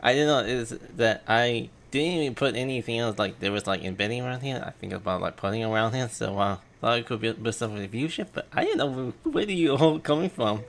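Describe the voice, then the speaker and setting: A man's voice: mid-range voice, lively intonation, fairly quick, gravelly, few pauses, fairly bright tone. A man chatting casually to livestream viewers in a hesitant, rambling, self-effacing way. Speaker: game livestreamer. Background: game music.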